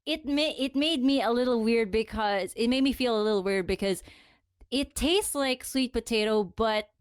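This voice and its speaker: A woman's voice: on the high side, normal speed, clear, resonant tone, no room sound, studio quality. A woman from the Philippines co-hosting a podcast in casual chat, often repeating words as she explains things. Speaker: podcast co-host.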